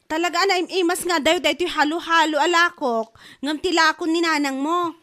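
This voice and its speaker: A woman acts a snippy, boastful female shopkeeper for a comedy drama. The voice is very high and bright, scornful in manner. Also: steady tone, moderate pace. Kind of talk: Filipino comedy audio drama.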